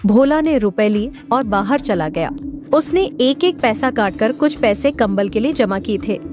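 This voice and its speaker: Role: narrator. Style: scripted storybook narration. Pitch: fairly high. Behind: clear music. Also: female voice, animated, unhurried. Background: background music.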